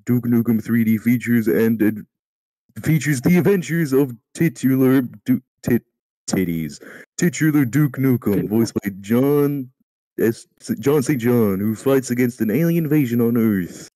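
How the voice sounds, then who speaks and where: A man's voice: medium pitch, animated, measured pace, mellow, dry recording, rough recording. A man reading an encyclopedia article aloud, stumbling over words and swearing casually at his slips. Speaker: amateur reader in a group voice call.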